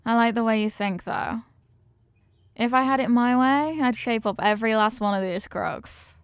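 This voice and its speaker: A woman's voice: on the high side, moderate pace, smooth, dry recording, natural voice. A woman playing a confident, affectionate mafia boss in an intimate roleplay, teasing and streetwise, with slangy talk and pet names. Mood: musing, assured. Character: confident, doting female mafia boss, tough but affectionate. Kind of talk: intimate ASMR-style roleplay acting.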